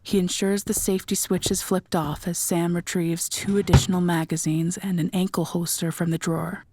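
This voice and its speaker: A woman's voice: lowish voice, little pitch movement, moderate pace, noisy background. A woman reading scripted third-person narration for an audio drama.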